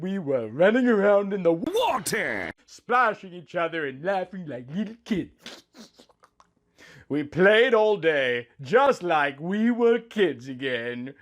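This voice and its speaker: A man's voice: high-pitched, fairly bright tone. A man reading a scripted first-person story as narrator, with music behind him.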